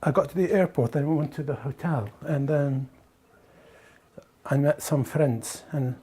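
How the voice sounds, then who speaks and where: A man's voice: fairly high pitch, unhurried, warm tone. A middle-aged man from Algeria, not a native English speaker, chatting casually and hesitantly with repeated words. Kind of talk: casual conversational recollection. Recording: clearly noisy documentary location sound.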